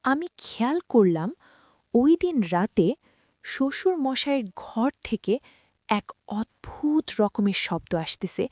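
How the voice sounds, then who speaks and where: A woman's voice: fairly high pitch, sing-song, slow, a little mellow, no echo, studio quality. A woman narrating a first-person story in a confiding tone, talking to her listeners as friends.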